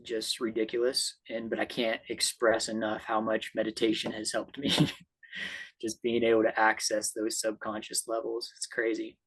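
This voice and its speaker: A man's voice: lowish voice, monotone, normal speed, a bit bright, slightly rough, rough recording. A man speaking earnestly as a guest coach in a conversational discussion, often checking in with a tag question. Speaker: guest trauma-healing coach.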